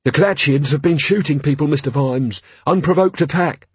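A man's voice: a little high, normal speed, breathy edge, no room sound, pitched above the performer's own voice. A man voicing a nervously defiant male rioter in an audiobook, aggrieved and blustering, faltering as he argues. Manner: indignant, faltering. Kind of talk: audiobook character voice.

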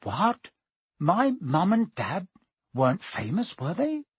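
A man's voice: sits high, huge pitch swings, slow pace, slightly rough, no room sound, pitched above the performer's own voice, exaggerated voice. A man voicing a bewildered, curious schoolboy with a hesitant, questioning manner in a storybook reading. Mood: puzzled, defensive. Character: bewildered curious eleven-year-old boy.

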